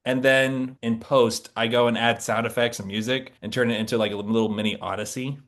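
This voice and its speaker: A man's voice: mid-range voice, little pitch movement, brisk, a bit bright. A man hosting a podcast with casual chat and improv, informal and playful in manner. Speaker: podcast host.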